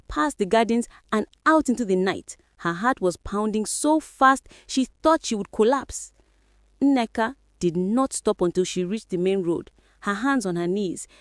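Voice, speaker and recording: Woman reading a spooky folktale, lots of pitch swings, dry close mic. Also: dry recording. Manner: dramatic, expressive storytelling. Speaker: storyteller. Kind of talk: scripted folktale narration.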